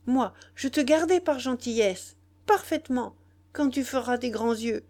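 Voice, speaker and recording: A woman's voice: fairly high pitch, unhurried, a little mellow, voice pushed up, natural voice. A woman voicing a brash, impatient young kept woman in audiobook dialogue, scolding in an exclamatory, slangy way. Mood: angry, impatient.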